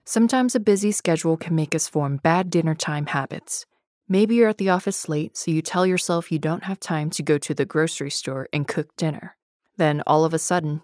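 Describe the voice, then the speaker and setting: A woman with a low voice delivers a scripted advertisement. Her read is conversational, like a podcast sponsor spot. The recording is close and dry, with very little room sound. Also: darkish tone, no room sound. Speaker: podcast ad reader.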